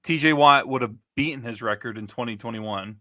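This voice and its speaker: A man's voice: mid-range voice, brisk, bright, forceful tone, no echo, clean studio sound. A man in his twenties co-hosting a sports podcast, chatty and steering the talk. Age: 20s. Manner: chatty, leads the segments. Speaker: co-host. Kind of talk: casual sports chat.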